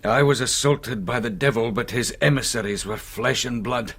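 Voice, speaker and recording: Roughed up young minister, husky n creaky, slow, stern. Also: male voice, medium pitch, fairly bright tone. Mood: stern, indignant. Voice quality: gravelly, husky. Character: battered young male minister, groggy yet stern and devout. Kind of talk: radio drama dialogue.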